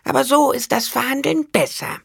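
A man voicing a scheming male dwarf in an audiobook, with a squeaky, gravelly falsetto and a sly, wheedling manner. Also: normal speed, fairly bright tone, dry recording, studio quality, exaggerated voice. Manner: wheedling, unruffled.

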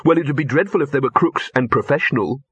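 A man's voice: mid-range voice, fast, fairly bright tone, husky, no echo, muffled recording, voice pushed up. A man voicing a meek young man who speaks timidly.

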